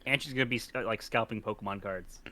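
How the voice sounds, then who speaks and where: A man co-hosting in casual, joking banter, halting and slangy, with some swearing. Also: fairly low pitch, pushed and bright.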